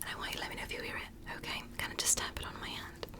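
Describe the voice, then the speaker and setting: A woman whispering softly and breathily in a gentle, reassuring ASMR roleplay as a doctor giving an exam. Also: racing. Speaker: ASMR performer playing an ear doctor.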